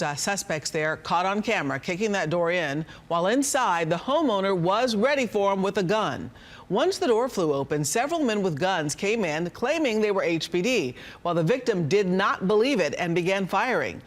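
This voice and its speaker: High-pitched American male news anchor. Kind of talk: scripted broadcast news delivery.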